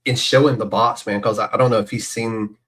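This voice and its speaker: Male podcast host, lowish voice, chatty, rambling, excitable. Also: fairly quick. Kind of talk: casual podcast interview.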